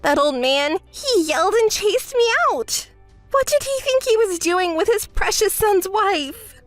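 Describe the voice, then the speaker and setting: A woman's voice: very high voice, expressive, a little slow, no echo, soft background music, voice pushed up. A woman voicing a spoiled, greedy young woman who whines, demands and shrieks in a dramatized story. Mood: indignant. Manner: whiny, shrieking, self-pitying.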